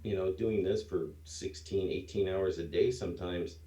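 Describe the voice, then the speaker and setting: Deep mellow man in his sixties. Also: steady tone, moderate pace, reverberant. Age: 60s. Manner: easygoing, rambling, laughs at himself. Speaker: trader in a mentoring program. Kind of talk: casual chat.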